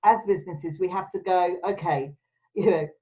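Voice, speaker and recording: A woman's voice: lowish voice, moderate pace. Chatty woman on a dull call line. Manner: chatty, laughs often, emphatic. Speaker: podcast host. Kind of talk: casual video-call chat. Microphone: video call.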